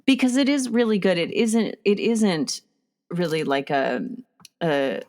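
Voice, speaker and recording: Woman podcast host w a lowish voice, chatting about movies, excited, kinda stop-start. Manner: halting, conversational, enthusiastic. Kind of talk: casual film discussion.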